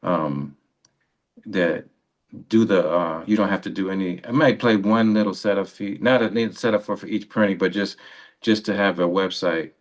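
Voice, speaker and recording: A man's voice: low voice, normal speed. A man hosting a radio interview in casual, rambling chat, often breaking off and rephrasing his sentences. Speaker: radio show host.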